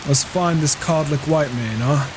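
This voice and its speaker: A man's voice: on the high side, a little slow, background noise, close to an everyday voice. A man roleplaying a reclusive, observant warrior in a fantasy game, musing to himself in muttered asides over a flawed home mic. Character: reclusive male warrior, wry, observant wanderer. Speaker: player roleplaying a character in a game.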